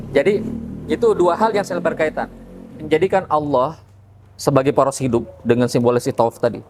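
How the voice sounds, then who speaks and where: A man's voice: mid-range voice, a little mellow, slight background noise. A man speaking as a religious podcast guest, explaining things conversationally and often checking in with tag questions. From Indonesia.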